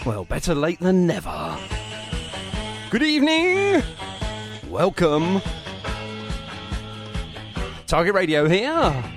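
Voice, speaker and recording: A British man presents a radio show in a fairly high, very animated voice. He is chatty and jokey, quick to poke fun at himself. Music plays behind him. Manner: chatty, jokey, self-deprecating.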